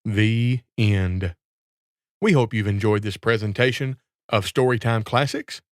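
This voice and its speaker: A man's voice: lowish voice, measured pace, dry recording, clean studio sound. A man reading a scripted fairy tale as a storyteller.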